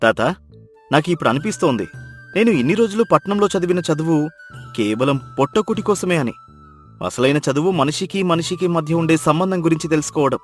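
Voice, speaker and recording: A man's voice: on the high side, lively intonation, quick pace, soft background music, close to an everyday voice. An actor playing an educated young city man, humbled and repentant, speaking earnestly and emphatically in a dramatized moral story. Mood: earnest, grateful.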